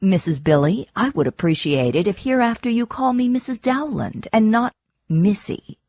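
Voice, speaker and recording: A woman's voice: medium pitch, animated, normal speed, darkish tone, no room sound. A woman playing a newly married wife in an audiobook, polite, restrained and firm. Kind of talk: audiobook character acting.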